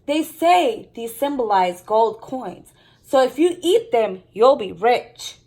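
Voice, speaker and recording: A woman voicing a cheerful mother in a children's story, explaining things with lively intonation. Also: on the high side, slow pace, rough recording. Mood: encouraging.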